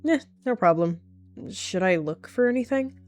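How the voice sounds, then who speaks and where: A woman's voice: medium pitch, lively intonation, a little mellow, soft background music, close to an everyday voice. Woman voicing a casual, awkward young clan cat. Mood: casual, hesitant. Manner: halting, informal, awkward.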